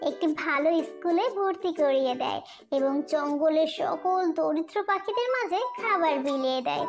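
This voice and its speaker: A woman's voice: high voice, animated, normal speed, soft background music. A woman narrating a scripted fairy tale for children as a storyteller.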